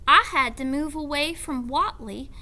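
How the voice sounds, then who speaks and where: A woman's voice: high voice, normal speed, sharp tone, pitched above the performer's own voice. A woman voicing an earnest, lonely and curious young girl in a storybook, speaking plainly. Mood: sad.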